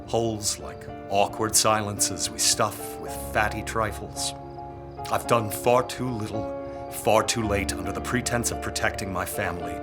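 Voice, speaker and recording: Man acting a brooding low-voiced lord. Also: a little slow, over loud music, lightly acted. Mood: self-reproachful, bleak. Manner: weary, subdued, formal. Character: brooding young lord, self-doubting and courteous. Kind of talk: audio drama acting.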